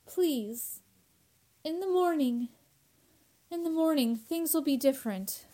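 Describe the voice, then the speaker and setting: A woman's voice: high-pitched, animated, takes it slowly, warm tone. A woman playing a frightened, fragile young woman in a radio horror drama, anxious and devoted, pleading breathlessly and repeating herself. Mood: soothing, pleading.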